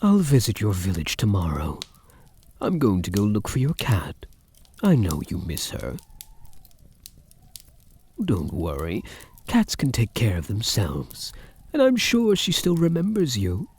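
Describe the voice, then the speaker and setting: Man acting a soft, breathy, low-voiced vampire count. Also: huge pitch swings, a little slow, long pauses, exaggerated voice. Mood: gentle, reassuring. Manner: intimate murmur, often dropping into whispers. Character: possessive, tender male vampire count doting on captive. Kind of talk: soft-spoken ASMR roleplay.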